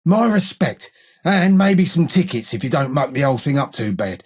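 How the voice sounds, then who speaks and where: An actor playing a gruff, streetwise London gang tough in a video game, with a rough gravelly British working-class accent and blunt, slangy, taunting delivery. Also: male voice, mid-range voice, very animated, normal speed. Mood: gruff, confiding. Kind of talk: video game character voice lines.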